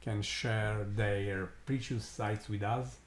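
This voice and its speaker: A man with an Italian accent, his first language Italian, hosting a live astronomy stream and commenting slowly with many pauses and fillers, sounding amazed. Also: lowish voice, steady tone, roomy sound, a little hiss or hum. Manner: slow, halting, many fillers, awed.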